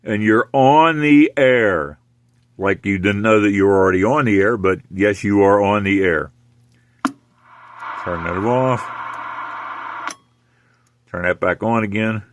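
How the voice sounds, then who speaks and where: A man's voice: fairly low pitch, takes it slowly, pauses often. Older American man, noisy recording. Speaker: radio hobbyist demonstrating equipment. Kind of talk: casual hands-on demonstration.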